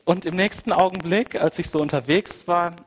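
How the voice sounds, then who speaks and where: A man with a cold preaching a sermon, conversational and full of asides, moving between quiet, reflective passages and emphatic, rising ones. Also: high voice, moderate pace. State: has a cold. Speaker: pastor. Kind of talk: sermon with personal anecdote.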